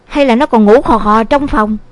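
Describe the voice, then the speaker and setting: A woman's voice: a little high, normal speed, no room sound, lightly acted. A woman voicing a scolding, exasperated middle-aged village mother in a dramatised audio story, with a southern Vietnamese accent and exclamatory delivery. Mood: brisk questioning.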